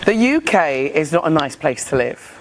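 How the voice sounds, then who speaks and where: A woman's voice: low-pitched, big pitch swings, moderate pace. Emphatic English-born woman interviewee. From England. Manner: emphatic, repeats points for effect, laughs readily. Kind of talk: casual interview chat.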